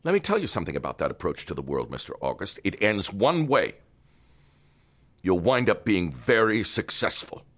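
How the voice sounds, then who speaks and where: A man's voice: lowish voice, big pitch swings, moderate pace, fairly bright tone, full character voice. An actor playing a stern, authoritative older male doctor who lectures and scolds in an audio drama. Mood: scolding. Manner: commanding, scolding, moralizing. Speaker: Doctor Barrows.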